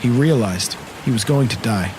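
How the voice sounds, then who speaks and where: A man's voice: medium pitch, lively intonation, moderate pace, noisy background. A man narrating a scripted horror audio drama in a dramatic storytelling style. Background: storm rain sound effects and music.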